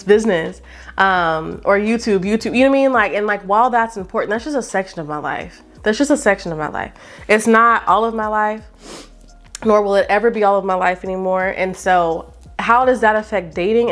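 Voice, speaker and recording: Woman, late 20s, YouTuber. Chatty, animated talk to camera with frequent asides. Mid-range pitch. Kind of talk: casual chat to camera.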